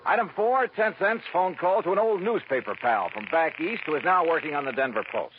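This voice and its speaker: An actor playing a wry, brisk, hardboiled insurance investigator in a golden-age radio drama. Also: male voice, on the high side, lively intonation, natural voice. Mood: wry, brisk narrating. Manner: brisk, wry, clipped, world-weary. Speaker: lead actor and first-person narrator. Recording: dull vintage radio recording, music behind.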